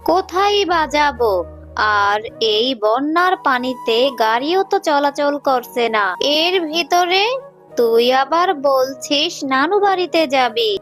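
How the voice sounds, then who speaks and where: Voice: high voice, animated, takes it slowly, fairly bright tone, faint music behind, full character voice. A performer voicing a worried, protective mother fox in a children's cartoon, speaking in a fretful, tender way. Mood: exasperated, fretful.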